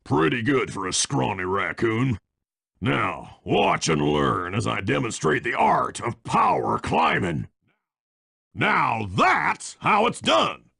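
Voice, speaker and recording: Gravelly, boastful lumberjack villain. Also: male voice, mid-range voice, very animated, slow pace, bright, forceful tone, no echo, clean studio sound, heavily acted. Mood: taunting, triumphant. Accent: rustic North American. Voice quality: gravelly, raspy. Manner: folksy, drawling, taunting, swaggering. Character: boastful, bullying old-time lumberjack villain, hearty and competitive. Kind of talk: cartoon video game villain acting.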